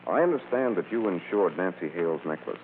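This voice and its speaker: An actor playing a suave, unruffled amateur sleuth with a wry, brisk manner in an old-time radio drama. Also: male voice, low-pitched, animated, slight background noise. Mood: courteously probing. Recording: muffled, tinny old radio recording.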